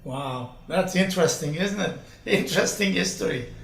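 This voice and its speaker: Guy asking interview questions, high voice, really gravelly, halting, echoey room. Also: animated, fairly bright tone. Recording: echoey room with background noise.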